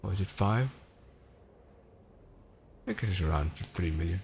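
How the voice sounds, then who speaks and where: Deep-voiced Swedish man streaming a game. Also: animated, unhurried, vocal fry, a little mellow, a little hiss or hum. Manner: chatty, rambling, self-correcting. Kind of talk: casual gameplay commentary.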